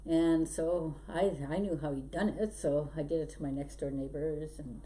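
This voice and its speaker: A woman's voice: sits low, fairly even intonation, moderate pace, mellow, rough recording. A middle-aged woman, born in Belgium and raised in Canada, chatting as a podcast guest and telling stories about her life. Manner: chatty and storytelling, laughs often. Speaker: hypnosis trainer, podcast guest. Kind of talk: casual interview chat. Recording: faint background noise.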